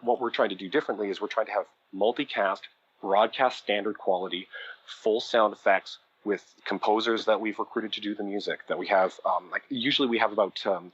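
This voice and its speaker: Husky low-voiced man explaining his craft. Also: steady tone, moderate pace, no echo, muffled recording. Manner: thoughtful, explanatory, with fillers. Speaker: audio drama producer, guest. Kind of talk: conversational podcast interview.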